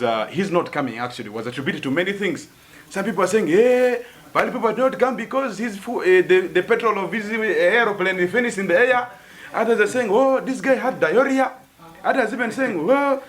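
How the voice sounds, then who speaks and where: A man's voice: high-pitched, fairly bright tone. A man with a Ugandan accent hosting a comic interview in a playful, teasing manner. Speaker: satirical news show host.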